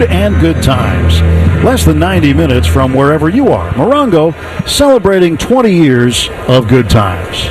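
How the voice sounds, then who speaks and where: A man with an American accent doing live radio play-by-play of a baseball game in measured, descriptive calls. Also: a little high, low-quality recording. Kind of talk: live radio sports commentary.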